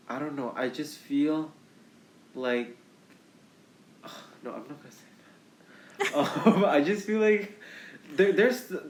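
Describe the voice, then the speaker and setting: A young man co-hosting a casual podcast chat, talking haltingly with lots of restarts and fillers. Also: a little high. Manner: halting, restarts often, many "like"s. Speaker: podcast co-host. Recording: echoey room.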